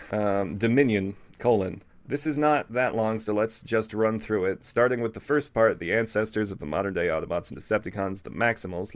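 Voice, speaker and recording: Fast-talking man with a lowish voice. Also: no room sound. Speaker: co-host reading fan fiction aloud. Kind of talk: casual reading aloud with off-the-cuff commentary.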